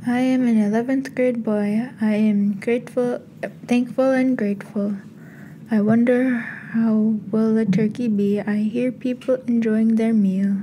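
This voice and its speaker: A woman's voice: a little high, steady tone, measured pace, mellow, slight background noise. A woman reading a simple, repetitive poem aloud. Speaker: poem reader.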